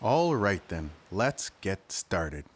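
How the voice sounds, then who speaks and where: A man voicing a proud, dignified eagle in an animated film. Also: low-pitched, slow, full character voice. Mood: solemn. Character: proud, dignified male eagle officiating a wedding.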